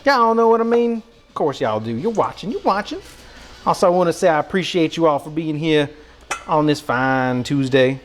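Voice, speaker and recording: A man putting on an American Southern country accent as a goofy, excitable countryman, rambling casually to a livestream chat in a folksy drawl. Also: on the high side, normal speed, close to an everyday voice. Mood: folksy, cheerful. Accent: put-on American country, Southern. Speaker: live streamer cooking for chat.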